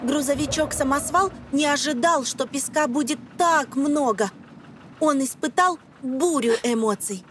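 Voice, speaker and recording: Female narrator, high voice, for educational children's cartoon; simple explanatory scripted delivery, faint background noise. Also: unhurried.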